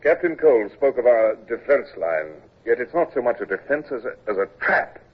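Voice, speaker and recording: An actor playing a decisive naval commodore in an old radio drama, giving orders and narrating in a clipped, commanding way. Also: male voice, medium pitch, very animated, slightly rough. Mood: briefing. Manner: clipped and commanding, with halting restarts. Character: naval commodore, middle-aged man, dry and decisive. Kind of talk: 1950s radio drama acting. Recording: muffled old radio broadcast with hiss.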